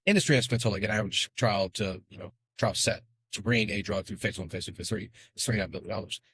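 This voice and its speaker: A male podcast guest who talks very fast and keeps the explanations pouring out, tossing in quick asides as he goes. The recording is dry and close, with no room sound. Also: fairly low pitch, fairly even intonation, fairly bright tone, slightly rough, no room sound. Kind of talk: casual podcast interview.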